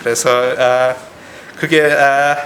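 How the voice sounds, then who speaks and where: A man in his late twenties from the United States with an American accent, preaching haltingly in simple phrases and searching for words. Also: medium pitch, level tone, fairly bright tone. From United States, Korean immigrant family. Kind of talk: sermon with personal anecdotes. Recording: echoey room, clear background noise.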